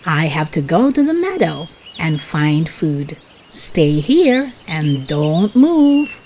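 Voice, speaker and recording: A woman voicing a gentle, protective mother deer in a storybook, speaking in quiet, soothing instructions. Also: low voice, sing-song, slow, slight background noise, exaggerated voice. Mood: firm, caring.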